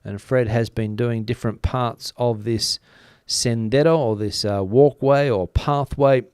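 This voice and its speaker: A middle-aged man, a native English speaker, hosts a casual live stream from his home studio. He rambles chattily, with plenty of "uh"s. His voice is smooth and resonant, recorded close with a dry sound and no room echo. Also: mid-range voice, steady tone, measured pace, a little mellow. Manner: chatty and rambling, many "uh" fillers. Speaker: news channel host. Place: home studio in front of a backdrop picture.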